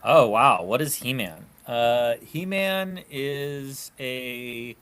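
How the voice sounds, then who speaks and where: A man with a bright, crisp-edged voice at a middle pitch. He chats casually as a panel guest at a slow, easy pace. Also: expressive. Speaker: puppetry track director, panelist. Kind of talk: casual chat.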